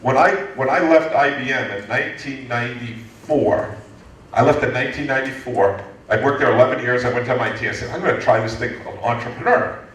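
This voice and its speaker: Animated American man in an echoey hall. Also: mid-range voice, brisk, recorded from a distance, slight background noise. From United States. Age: 50s. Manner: animated, joking, frequent restarts. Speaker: guest speaker, entrepreneurship lecturer. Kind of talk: lively Q&A answer to an audience. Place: lecture hall.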